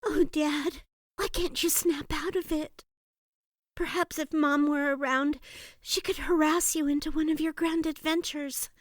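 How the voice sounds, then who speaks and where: A woman's voice: high-pitched, fairly even intonation, unhurried, no echo. A woman playing a young, tender-hearted and spirited princess in an audiobook, talking to herself in an earnest, theatrical way. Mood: worried, pleading. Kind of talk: audiobook character acting.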